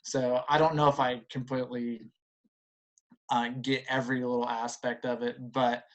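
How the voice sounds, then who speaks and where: A man in his late thirties with a medium-pitched voice talks casually as a panel guest. He is halting, and often breaks off and starts his sentences again. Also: level tone, low-quality recording. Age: late 30s. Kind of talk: casual chat.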